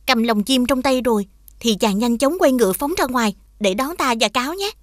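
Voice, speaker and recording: A woman voicing a clever young princess in an animated fairy tale, gentle and formal in manner. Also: fairly high pitch, brisk, no echo, studio quality, natural voice. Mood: grateful, composed.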